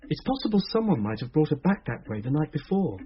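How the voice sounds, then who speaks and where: An actor playing an earnest British friend of a detective in a radio drama, expressive and husky. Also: male voice, a little high, fast talker, slight background noise. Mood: curious, earnest. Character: earnest, eager male friend helping the detective. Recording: dull, lo-fi old radio recording.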